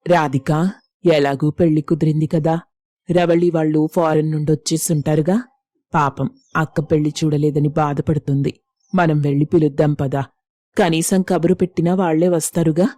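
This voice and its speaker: A woman's voice: low voice, steady tone, normal speed, dark tone, no echo, clean studio sound. A woman voicing a naive, anxious elderly village mother in a story, plaintive and pleading. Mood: innocent concern. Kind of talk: acted dialogue in an audio story.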